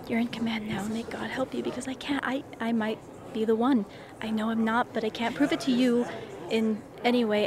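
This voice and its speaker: An actress playing an earnest, shaken expedition member in a radio play, grave and hesitant. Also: female voice, a little high, lightly acted. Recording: noisy recording.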